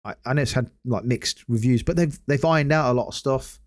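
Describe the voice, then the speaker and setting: A man hosting a casual solo gaming podcast, hoarse after hours of talking and rambling with many hesitant restarts. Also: medium pitch, brisk. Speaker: podcast host.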